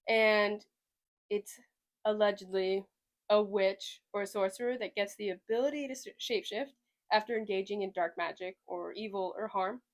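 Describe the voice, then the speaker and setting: A young woman from Sweden with a slight Southern US twang, hosting a podcast in a rambling, chatty way with lots of hedging. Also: medium pitch. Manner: rambling, chatty, hedges a lot. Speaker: podcast host. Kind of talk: casual chat.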